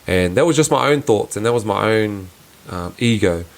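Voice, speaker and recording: A young man hosting his own podcast, talking in a soft voice with earnest, reflective musings and frequent restarts. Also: lowish voice, expressive, moderate pace. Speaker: podcast host. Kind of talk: casual reflective solo podcast.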